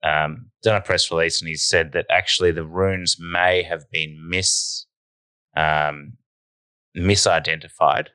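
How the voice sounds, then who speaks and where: A man co-hosting a podcast, deadpan and a bit nasal, telling a mock-serious tall tale. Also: sits low, unhurried, clean tone, dry recording. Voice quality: nasal at times. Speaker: podcast co-host. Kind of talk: casual podcast banter.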